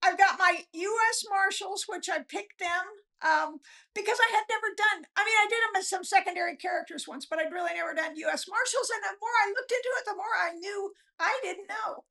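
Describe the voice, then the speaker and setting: Very high-pitched, creaky woman chatting. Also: a little fast. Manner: folksy, animated storyteller. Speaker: author guest, novelist. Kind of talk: casual chat, storytelling.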